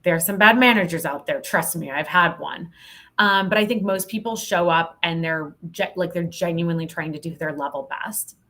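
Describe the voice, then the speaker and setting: Podcast guest: woman, slightly low-pitched, candid and emphatic, conversational delivery with plenty of expression. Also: moderate pace, a bit bright. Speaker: podcast guest, sales leadership expert. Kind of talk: conversational interview answer.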